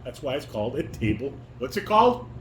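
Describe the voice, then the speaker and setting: Firm instructing dad in an echoey room. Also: male voice, medium pitch, very animated, quick pace. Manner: firm, correcting, quizzing. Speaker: father leading a family table read. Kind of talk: instructional talk to a child. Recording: echoey room, background noise.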